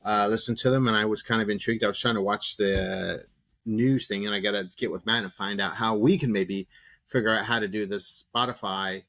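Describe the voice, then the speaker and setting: A man co-hosting a casual podcast chat, talking quickly and candidly with casual swearing. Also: on the low side, steady tone, a bit bright. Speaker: podcast co-host.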